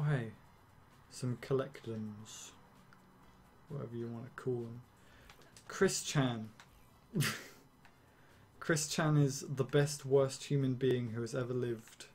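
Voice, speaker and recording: A young man streaming a game, rambling and ranting casually with swearing and quick laughs. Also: mid-range voice, a little mellow, a little hiss or hum. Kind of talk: casual gameplay commentary.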